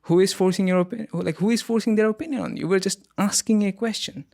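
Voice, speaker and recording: A man from India hosting a podcast interview, talking in an animated way with frequent fillers. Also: high voice, fast, creaky. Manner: animated when arguing, many fillers. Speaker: podcast host. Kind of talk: conversational interview.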